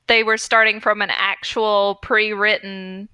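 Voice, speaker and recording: A woman's voice: mid-range voice, level tone, unhurried, pushed and bright, clean tone, no room sound. A woman co-hosting a podcast in casual, conversational chat. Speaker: podcast co-host.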